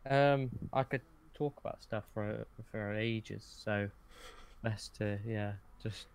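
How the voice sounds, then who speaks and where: A man's voice: fairly low pitch, steady tone, takes it slowly, vocal fry, a little hiss or hum. A man chatting casually as a podcast guest, hesitant and often correcting himself as he goes. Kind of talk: casual conversation.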